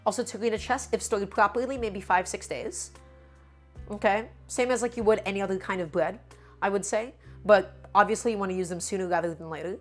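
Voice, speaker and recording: A woman's voice: mid-range voice, steady tone, brisk, faint music behind. A woman hosting a live cooking stream, slightly sick and a bit nasal, talking in an upbeat, chatty way. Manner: upbeat, chatty, greets chat by name.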